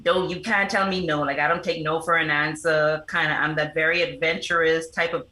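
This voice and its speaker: A woman's voice: low voice, fairly even intonation, fairly quick, pushed and bright. A middle-aged woman, giving earnest, explanatory answers as an interview guest. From the Bahamas. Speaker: interview guest, foundation representative and motivational speaker. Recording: roomy, echoing sound.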